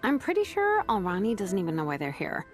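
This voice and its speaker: A woman voicing a laid-back, wry young female vice captain who talks in a relaxed, teasing way. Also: mid-range voice, brisk. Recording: game cutscene with background music.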